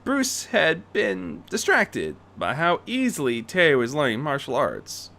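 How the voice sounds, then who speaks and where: Male story narrator for fan fiction, bright, forceful delivery with extremely lively melodic swings. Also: on the high side, a little slow, creaky. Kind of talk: expressive scripted story narration.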